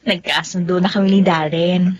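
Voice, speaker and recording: A woman's voice: fairly low pitch, steady tone, normal speed, dry recording, natural voice. An actress with a Filipino accent playing a devoted, hopeful young woman in a radio drama. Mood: pleased. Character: devoted, hopeful young expectant mother in unrequited love. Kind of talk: Tagalog radio drama acting. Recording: dull, lo-fi radio sound.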